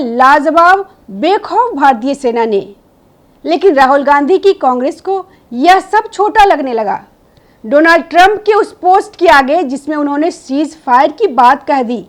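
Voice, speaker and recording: A woman news presenter reading scripted, opinionated political commentary with emphatic rhetorical phrasing. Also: high voice.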